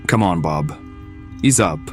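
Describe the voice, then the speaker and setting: Guy doing a steady careful adventurer, real low n slow, warning the others, audio drama. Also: faint music behind. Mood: urgent calming. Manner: urgent, cautioning. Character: steady, cautious male hireling, the calming comrade.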